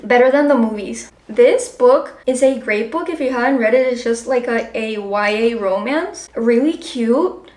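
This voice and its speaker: A woman's voice: a little high, a little slow, a little mellow. Chatty woman, roomy echo. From Colombia. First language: Spanish. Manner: chatty, frequent "like" fillers, upbeat. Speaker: book vlogger. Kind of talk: casual chat to camera.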